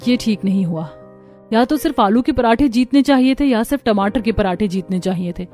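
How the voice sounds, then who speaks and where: Casting: actress as indignant young daughter-in-law for a family cartoon, fairly high voice, emphatic, music underneath. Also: female voice, expressive, fairly quick, dark tone, natural voice. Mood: insistent. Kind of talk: Hindi moral-story cartoon dialogue.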